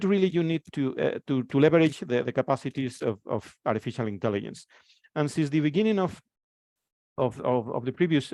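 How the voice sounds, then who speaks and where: A man's voice: mid-range voice, darkish tone, no echo. A man from Spain in his forties with a Spanish accent, giving a conference presentation and often repeating words as he restarts his phrases.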